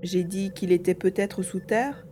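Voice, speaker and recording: A woman's voice: mid-range voice, steady tone, a little slow, noisy background, natural voice. A woman acting a hesitant, earnest young boy adventurer in an audio drama. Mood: puzzled, questioning. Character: hesitant young boy adventurer grieving his lost father.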